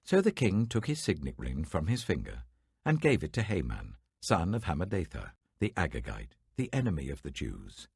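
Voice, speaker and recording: A man reads scripture with a very low, husky voice that swings widely in pitch. His delivery is measured and dramatic, and the sound is dry and close. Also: huge pitch swings, a little mellow, no room sound. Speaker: Bible reader.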